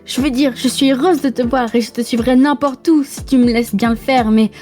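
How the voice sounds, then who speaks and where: A woman plays a young female cartoon hedgehog leader from a comic dub. Her voice is high and rounded, not sharp, with an earnest, emphatic, take-charge delivery. Faint music runs underneath. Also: fairly even intonation, brisk, natural voice. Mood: earnest, exasperated. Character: determined, spirited young female hedgehog commander. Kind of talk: French fan comic dub.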